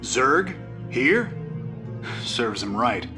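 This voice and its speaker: An actor playing a sardonic, irreverent rebel soldier in a video game, with a wry, sarcastic way of talking. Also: male voice, medium pitch, very animated, extremely slow, music in the background. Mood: incredulous, scornful. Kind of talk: video game cutscene acting.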